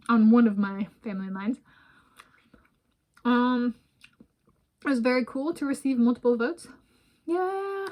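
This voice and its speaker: American woman, 30s, slightly high-pitched, for casual digressive home food vlogs, chats between bites. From United States. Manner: rambling, digressive, talks while eating. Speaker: vlogger. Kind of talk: casual food vlog talk. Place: home room with bookshelves, indoors.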